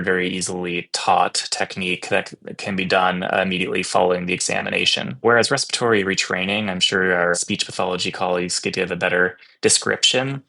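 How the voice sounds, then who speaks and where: A man's voice: low-pitched, gravelly, pushed and bright. A young man, a physician guest, giving explanatory answers in an interview.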